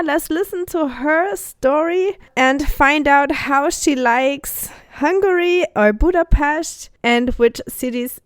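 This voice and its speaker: A woman's voice: sits high, clear, resonant tone, dry recording, studio quality. A woman with German as her first language hosts a podcast interview in a casual, chatty way, often stalling with fillers and restarting her questions. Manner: hesitant, with many fillers and restarts. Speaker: podcast host.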